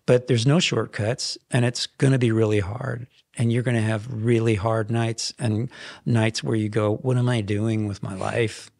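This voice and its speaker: A man in his fifties, a songwriter guest in a podcast studio, telling stories in a rambling, animated way with frequent restarts. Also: lowish voice, moderate pace, creaky, no room sound. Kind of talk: casual interview storytelling. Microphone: headphones and boom mic.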